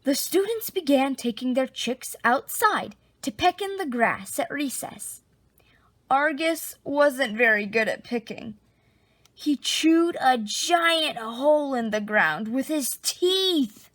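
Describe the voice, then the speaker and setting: A woman reading a children's picture book aloud, expressively, with drawn-out dramatic emphasis. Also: high-pitched, expressive, fairly bright tone, no echo. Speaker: storybook reader.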